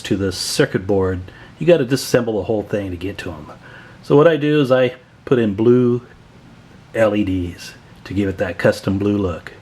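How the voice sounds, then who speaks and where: A man's voice: medium pitch, a little mellow. A man giving an informal, folksy product showcase as a hobbyist builder, talking from behind a handheld camera indoors. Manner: informal, folksy, asks rhetorical questions. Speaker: hobbyist radio builder presenting own work. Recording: noisy room recording on handheld camera.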